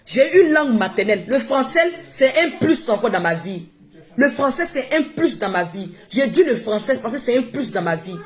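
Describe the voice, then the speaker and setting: A woman's voice: on the high side, very animated, normal speed. A woman whose first language is not French, speaking emphatically and repeating herself in a casual live-stream monologue on her phone. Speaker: social media live streamer.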